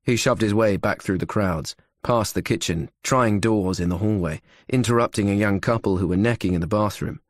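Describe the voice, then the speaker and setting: Male audiobook narrator for suspense fiction, very low register, close dry sound. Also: normal speed, few pauses, no echo. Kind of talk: scripted thriller narration.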